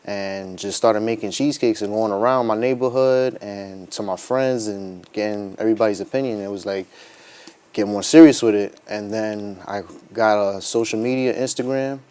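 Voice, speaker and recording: A man's voice: lowish voice, steady tone, normal speed, a little mellow, smooth. A man telling his story as an interview guest in a plain-spoken, informal way. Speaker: interview guest, small business owner.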